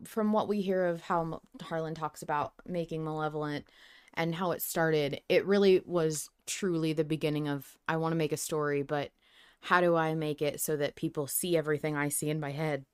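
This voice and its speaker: A woman's voice: deep voice, fairly even intonation, normal speed, smooth, dry recording, clean studio sound. A woman hosting a casual fan discussion podcast, talking in an enthusiastic, informal way. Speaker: podcast host.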